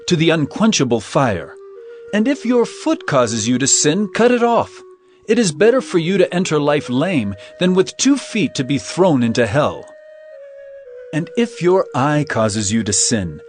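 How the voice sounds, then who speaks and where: Stern, solemn male teacher played by a man, commanding, widely varied pitch, audio Bible drama over soft music. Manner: solemn, commanding, deliberate emphasis.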